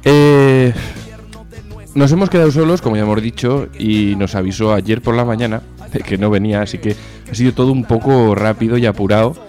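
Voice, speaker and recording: A man's voice: lowish voice, brisk, a little mellow, soft background music. A man with an accent from Spain co-hosts a casual radio chat show in an informal, jokey way, swearing freely. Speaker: co-host of a music and chat show.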